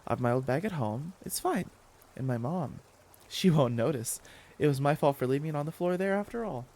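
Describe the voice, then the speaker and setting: A man's voice: a little high, animated, brisk, darkish tone. A man voicing an anxious, awkward, eager schoolgirl in a dramatic story reading, rambling earnestly and easily flustered. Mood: reassuring.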